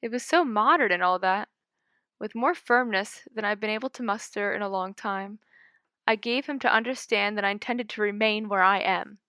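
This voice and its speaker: A woman reading a scary short story aloud in a measured, dramatic way. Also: mid-range voice, bright, forceful tone, clear, resonant tone, no echo, clean studio sound. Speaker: audiobook reader. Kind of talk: scripted story narration.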